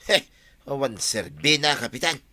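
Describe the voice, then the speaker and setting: A man's voice: mid-range voice, big pitch swings, normal speed, bright, forceful tone, dry recording, exaggerated voice. An actor playing a disgruntled village man griping in a comedy radio drama.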